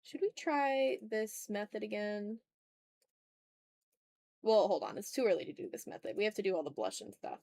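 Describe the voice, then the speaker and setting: A woman's voice: mid-range voice, expressive, normal speed, creaky, long pauses, dark tone, no room sound, clean studio sound. A woman chatting informally on a livestream while she draws, muttering asides to herself as she works. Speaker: art streamer. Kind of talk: casual livestream chat while drawing.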